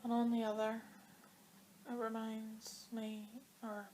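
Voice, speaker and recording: A woman's voice: on the high side, monotone, slow pace, a little mellow, slight background noise. A woman vlogging about her knitting in a chatty, rambling way, her throat dry as she comes down with a cold. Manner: chatty and rambling, with many fillers. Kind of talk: casual chat to camera.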